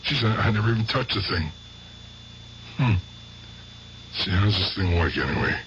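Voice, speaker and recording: Gruff, halting old gangster, deep voice. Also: male voice, lively intonation, fairly bright tone. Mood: halting, puzzled. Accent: American. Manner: rough colloquial speech, halting, muttering to himself. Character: aging guilt-tormented gangster, gruff but fond uncle. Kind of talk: radio drama acting. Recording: dull, noisy old radio drama recording.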